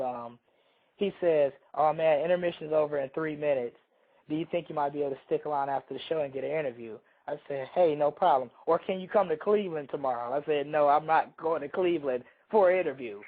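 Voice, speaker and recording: A hoarse man chats casually on a talk show, rambling through a story and often restarting his sentences. His voice sits a little high for a man. The sound comes through a thin, phone-like line. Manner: rambling, self-interrupting storyteller. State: hoarse from a cold. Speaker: radio show co-host.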